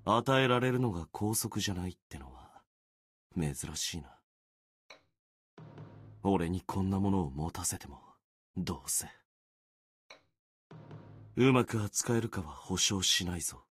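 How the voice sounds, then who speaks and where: A man voicing a gloomy, self-deprecating young warrior sword spirit in a video game, brooding and resigned, with a husky voice. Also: expressive, fairly bright tone, faint music behind, exaggerated voice. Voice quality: low, husky. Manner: brooding, resigned, muttering with trailing pauses. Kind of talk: Japanese video game character acting.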